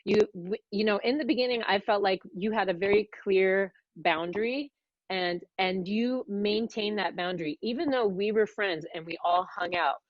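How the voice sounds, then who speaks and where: A woman's voice: mid-range voice, moderate pace, no echo. Podcast host, woman, talkative and laughing, dry muffled recording. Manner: warm, chatty, laughs often, rambling restarts. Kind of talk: casual interview chat.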